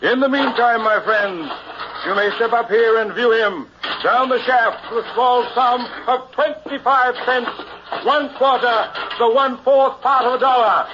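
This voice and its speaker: A man's voice: very high-pitched, a little slow, background noise. A man playing a showy carnival professor in 1960s radio horror, with formal, theatrical phrasing. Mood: grandiose pitchman. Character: showy carnival professor, huckster of a buried-alive act. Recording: vintage dull radio recording, music behind.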